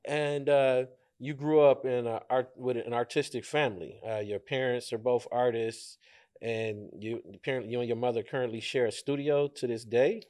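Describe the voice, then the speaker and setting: A man hosting a podcast, reading a scripted introduction and then asking relaxed interview questions. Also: medium pitch, normal speed. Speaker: podcast host.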